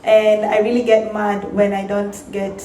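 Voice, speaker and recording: A woman from Kenya, speaking as a bartender on a live panel, lively and quick to laugh. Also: medium pitch. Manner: lively, laughs easily, acts out quotes. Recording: echoey hall, faint noise.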